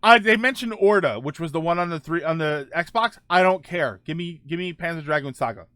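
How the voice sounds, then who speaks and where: A man's voice: a little high, fairly quick, pushed and bright, no room sound. A man hosting a video game livestream, casually reading out news notes and dropping in informal asides.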